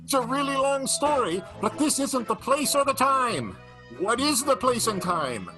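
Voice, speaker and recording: A man's voice: extremely high pitch, sing-song, measured pace. A man announcing a comic radio show in a dramatic, playful, showy narrator's style. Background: plucked guitar music.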